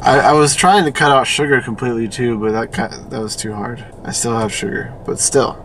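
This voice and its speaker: A man's voice: mid-range voice, a little fast, a bit bright. A sleepy man chatting casually for a vlog, rambling along with dry humor. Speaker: vlogger. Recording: noisy car ambience, distant mic.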